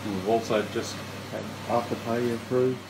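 A man's voice: medium pitch, steady tone, background noise. An Australian man, the owner, giving a casual walkthrough of his store and listing products one by one. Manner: slow, unhurried listing of items one by one. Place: indoor retail store.

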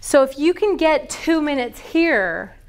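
A woman with a high, bright voice leads a class of yoga teacher trainees. She keeps firing questions at the group and answers them herself. She sounds middle-aged and is picked up by a camera across a large wooden studio. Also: unhurried. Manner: lively, quizzes students with questions. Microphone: far camera.

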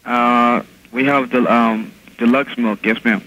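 Bright-voiced polite male store clerk. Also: mid-range voice, steady tone, slow. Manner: polite, patient, hesitant. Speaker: grocery store employee. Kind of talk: customer service phone call. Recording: phone call with clear line noise.

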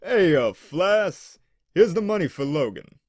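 A man's voice: high voice, very animated, unhurried, no echo, studio quality, heavily acted. A man playing a friendly, casual member of a drug crew in an audio drama. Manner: casual, familiar.

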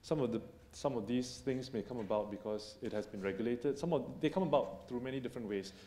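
A man in his thirties on a conference panel, making a measured argument into a handheld mic and restating his points as he goes. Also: medium pitch, mellow, slight background noise. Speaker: company panelist. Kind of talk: panel discussion. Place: conference stage in a hall. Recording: echoey hall.

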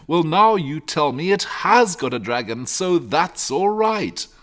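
A man voicing a calm, bookish young boy in a storybook, matter-of-fact, patient and slightly superior. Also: a little high, unhurried, pitched above the performer's own voice. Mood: calm, assured. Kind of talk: storybook character reading.

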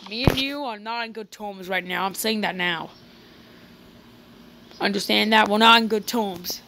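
A woman chatting in character during a casual game roleplay, breaking into excited exclamations. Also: mid-range voice, moderate pace, gravelly. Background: loud game music.

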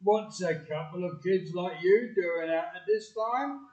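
Man as nosy blustering copper, very high voice, audio play, distant from mic. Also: measured pace, a little mellow. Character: nosy, blustering patrolling policeman. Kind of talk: community theatre audio play dialogue. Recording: echoey, muffled, far from mic.

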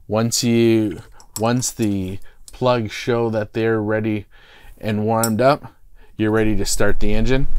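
A man's voice: on the low side, clear, resonant tone. A middle-aged man giving a plain, stop-start how-to walkthrough from the driver's seat of a parked motorhome, with fillers and restarts, on a lapel mic. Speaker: product expert. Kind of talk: unscripted how-to demonstration. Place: inside a parked motorhome cockpit.

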